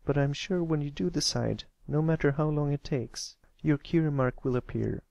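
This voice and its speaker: A man voicing a shy, earnest young male cartoon pony who speaks gently and hesitantly in a storybook reading. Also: fairly high pitch, normal speed, creaky, no echo, highs cut off, pitched above the performer's own voice. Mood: gentle, reassuring.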